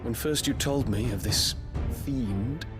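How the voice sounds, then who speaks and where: An actor playing a dutiful, troubled princely knight in a video game drama, formal and restrained. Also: male voice, mid-range voice, over music, lightly acted. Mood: grave. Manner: formal, measured.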